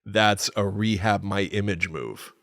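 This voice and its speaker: A man's voice: lowish voice, unhurried, gravelly, fairly bright tone, no echo, studio quality. A man co-hosting a podcast, talking casually about film and acting out bits as he retells them. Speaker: podcast co-host. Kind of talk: casual film discussion chat.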